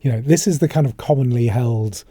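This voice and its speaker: Middle-aged male ex-police co-host, dark husky voice, frank and matter-of-fact. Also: medium pitch, a little fast, no room sound. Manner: blunt and opinionated. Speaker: former police officer, co-host. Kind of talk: casual chat.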